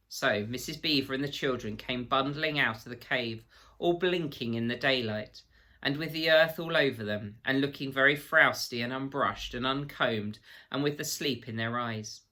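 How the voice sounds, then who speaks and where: A man narrating a children's storybook in an expressive, measured storytelling manner, like a teacher reading to pupils. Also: mid-range voice.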